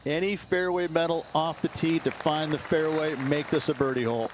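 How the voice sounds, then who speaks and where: A man's voice: fairly high pitch, fairly even intonation, moderate pace, noisy background, natural voice. A man voicing a confident golf analyst in a video game, advising on shots and strategy. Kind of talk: video game sports commentary.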